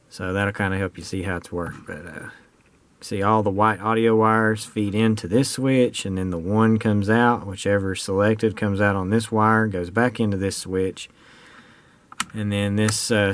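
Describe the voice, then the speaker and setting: American man, lowish pitch, casual unscripted show-and-tell, folksy, plain-spoken hobbyist presenter. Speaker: hobbyist presenter demonstrating a homemade radio project.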